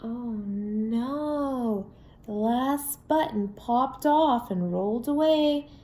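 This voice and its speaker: High-voiced woman reading slowly to children. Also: roomy sound. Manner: expressive, sing-song, addresses children with questions. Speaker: children's story reader. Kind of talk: read-aloud for young children with sung refrains.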